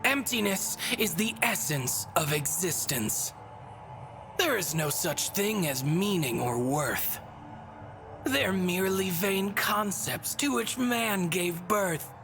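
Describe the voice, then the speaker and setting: An actress playing a whimsical, bored god in a video game, speaking in theatrical, teasing rhymed riddles. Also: female voice, lowish voice, animated, slow pace, background noise. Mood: teasing, grandiose. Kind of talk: video game cutscene voice acting. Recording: music under the voice.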